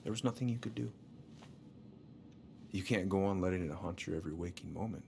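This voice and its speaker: A man playing a concerned middle-aged uncle in a naturalistic video game drama, gravelly, earnest and halting. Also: low-pitched, a little mellow, a little hiss or hum. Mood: firm, concerned. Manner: earnest, halting, repeats words. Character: concerned, gentle middle-aged uncle consoling his niece.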